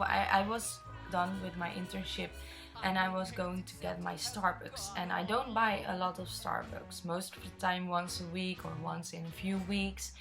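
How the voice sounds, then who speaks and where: A woman's voice: medium pitch, a bit bright. A woman in her twenties with a Dutch accent, chatting openly as she answers viewer questions in a vlog. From Netherlands. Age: 20s. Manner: chatty, open, rambling storyteller. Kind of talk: casual chat.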